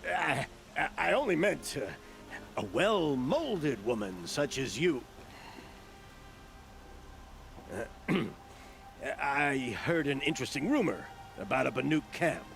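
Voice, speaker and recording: An actor voicing a shifty, blustering opportunist in a video game, with a sly, stammering salesman's patter and a gravelly edge. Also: mid-range voice, fairly bright tone, full character voice. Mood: flustered, wheedling. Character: shifty, blustering male opportunist merchant-gambler, bargaining and flattering. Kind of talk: video game dialogue acting.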